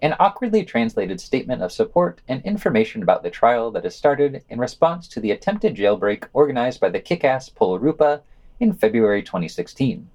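A man's voice: medium pitch, very animated, fairly quick, darkish tone. A man reading scripted news summaries and quotations for a podcast, adding casual, sardonic asides.

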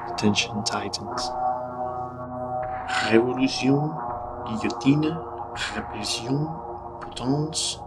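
A man reading a poem slowly and gravely, pausing between short phrases. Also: lowish voice. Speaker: poetry reader. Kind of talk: slow spoken-word poetry over music. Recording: loud music under the voice.

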